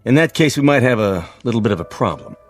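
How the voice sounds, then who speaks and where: A man's voice: mid-range voice, expressive, quick pace, soft background music, lightly acted. A man playing a terse, watchful policeman in a radio drama. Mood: grave. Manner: terse, official. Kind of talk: old-time radio drama acting.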